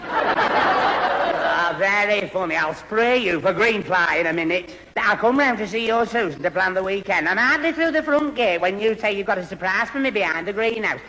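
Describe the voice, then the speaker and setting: A man's voice: sits high, animated, normal speed, pushed and bright, heavily acted. An actor playing a gormless, put-upon young man who stammers and splutters in exasperation in an old radio sitcom. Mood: grumbling. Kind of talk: 1970s radio sitcom acting. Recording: reverberant old radio recording, faint noise.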